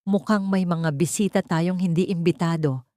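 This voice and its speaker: A woman's voice: fairly low pitch, steady tone, normal speed, warm tone, dry recording, clean studio sound, natural voice. A woman voicing a poised, mocking female executive with a cold, cutting manner in an audio drama. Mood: cold mockery.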